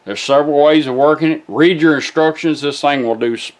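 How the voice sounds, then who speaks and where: A man's voice: on the high side, a little fast. Folksy man giving a casual demo. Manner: folksy, informal, talks to the gadget. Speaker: presenter demonstrating a product. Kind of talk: casual hands-on demonstration.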